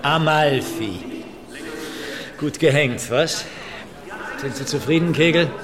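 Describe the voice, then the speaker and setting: Chatty eager curator in a radio play, slightly high voice, gallery crowd behind him. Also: male voice, slow pace. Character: eager, chatty male art curator at an exhibition. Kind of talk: conversational radio drama acting. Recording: busy crowd murmur behind.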